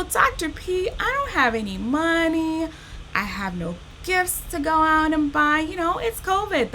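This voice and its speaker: A woman hosting a children's storytime, reading aloud and speaking directly to young listeners in an animated, expressive, encouraging way. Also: sits high, a little hiss or hum, low-quality recording.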